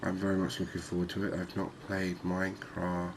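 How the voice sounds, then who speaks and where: A man giving calm, unhurried, soft-spoken ASMR gameplay commentary, musing aloud as he plays. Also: sits low, noisy background. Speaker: gaming video host.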